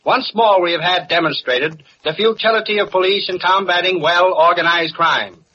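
An American radio commentator with a high, sharp, forceful voice. His delivery is solemn, punchy and declamatory, on a muffled old recording. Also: normal speed, slight background noise. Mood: grave, indignant. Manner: emphatic, declamatory. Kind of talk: old-time radio newscast.

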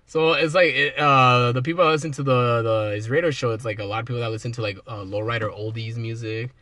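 A man's voice: medium pitch, steady tone, quick pace, pushed and bright. A man, a comedian guest on a podcast, chatting in slangy talk full of fillers. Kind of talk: casual chat.

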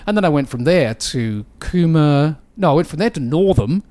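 An Australian man in his fifties, a radio DJ telling animated, self-deprecating stories about his career in a relaxed interview in a radio studio. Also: a little high, moderate pace, no echo. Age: 50s. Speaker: radio DJ guest. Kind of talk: casual interview storytelling.

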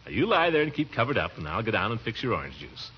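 An actor playing a fussy, affectionate middle-aged uncle in a theatrical, blustery 1940s radio comedy style. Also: male voice, fairly low pitch, sing-song, quick pace. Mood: doting, reassuring. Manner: blustery, theatrical, with swooping exclamations and chuckles. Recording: muffled, noisy vintage radio recording.

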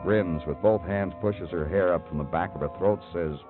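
An actor playing a weary, terse big-city police detective in a 1950s radio crime drama, with clipped, world-weary delivery. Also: male voice, sits low, normal speed, close to an everyday voice. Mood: brooding. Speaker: narrator and lead actor. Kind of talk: 1950s radio crime drama, poetic hard-boiled narration. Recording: tinny old radio broadcast with music.

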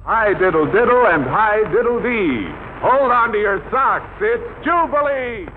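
A male announcer on a tinny vintage radio recording, with loud music behind him. He delivers showy, rhyming 1940s jive patter. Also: high voice, expressive, a little slow.

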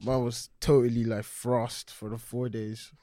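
A man's voice: medium pitch, flat delivery, measured pace, warm tone, no echo, clean studio sound. A man co-hosting a casual podcast, telling a rambling story with fillers and laughs. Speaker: podcast co-host. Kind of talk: casual chat among friends.

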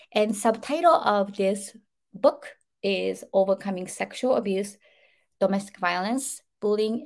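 A woman's voice: mid-range voice, vocal fry. A woman hosting a podcast interview, opening the show with formal, scripted introductions. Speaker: podcast host.

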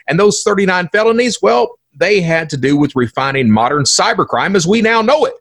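A middle-aged man hosts a solo podcast in a husky voice that swings widely in pitch. He talks informally and emphatically, with a folksy, theatrical streak and plenty of rhetorical questions. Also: animated, fairly quick, fairly bright tone. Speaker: podcast host.

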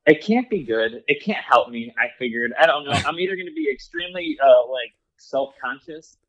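A man's voice: medium pitch, very animated, normal speed, a bit bright, no echo, lo-fi sound. A man guesting on a hunting podcast, talking in an easygoing, hesitant way with lots of ums and likes. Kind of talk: casual podcast conversation.

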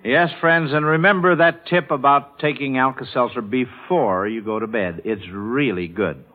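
A man's voice: mid-range voice, moderate pace. A man hosting a children's radio quiz, encouraging his panel, often hesitating and repeating himself. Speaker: quiz show host. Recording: tinny narrow-band vintage radio broadcast.

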